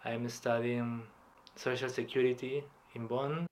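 A man in his thirties from Peru, talking in a relaxed, hesitant way as a podcast interview guest. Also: medium pitch, a little slow. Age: 30s. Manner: reflective, hesitant, with light laughs. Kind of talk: casual personal interview.

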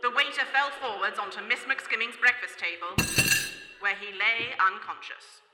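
An actress playing the wry narrator of a comic radio mystery, measured and mock-dramatic. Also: female voice, medium pitch, heavy background noise, lightly acted. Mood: mock suspense. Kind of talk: scripted radio play narration. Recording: echoey hall, live stage.

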